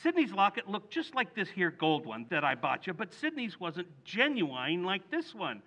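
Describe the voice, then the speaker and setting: Bumbling schemer husband from old radio sitcom, super animated, high pitched, blusters a lot. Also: male voice, moderate pace, muffled recording, heavily acted. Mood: indignant, pleading. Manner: blustery, exclamatory, folksy grammar. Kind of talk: old-time radio comedy acting.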